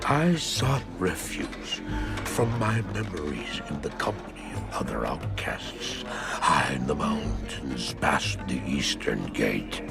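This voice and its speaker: An actor playing a haunted, guilt-ridden man who recounts dark memories in a deep, gravelly voice for a game cinematic. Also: sing-song, slow pace, full character voice. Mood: somber. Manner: brooding, hushed, rising to anguished outbursts. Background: loud music score.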